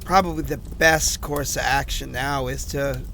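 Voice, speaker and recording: A man's voice: medium pitch, steady tone, unhurried, creaky, pushed and bright, slight background noise. A man acting a frightened, exasperated man who records rambling personal messages in a haunted-house audio drama. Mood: weary, uneasy. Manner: conversational, rambling, exasperated, incredulous.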